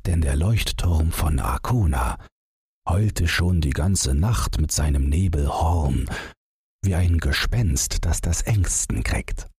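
A man's voice: a bass voice, a little mellow, breathy edge, no room sound. A man narrating a story as a scripted audiobook, close to the microphone.

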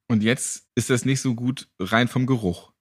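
A man's voice: medium pitch, moderate pace, slightly rough, dry recording, clean studio sound. A man hosting a podcast in a colloquial, playful and flippant way, chatting casually and asking questions. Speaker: podcast host. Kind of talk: casual, jokey interview questions and podcast announcements.

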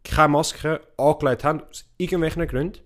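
A man's voice: a little high, fairly quick, fairly bright tone. A young man with a Swiss accent chatting casually as a podcast co-host, in an opinionated and emphatic way. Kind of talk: casual chat.

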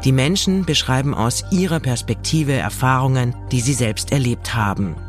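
A man reading a calm, scripted podcast introduction with a content warning. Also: mid-range voice, moderate pace. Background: music bed.

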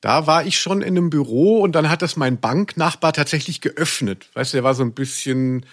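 Podcast co-host, man, forties, a little high in pitch, casual, rambling, self-mocking storyteller. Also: a little fast. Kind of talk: casual humorous anecdotal chat.

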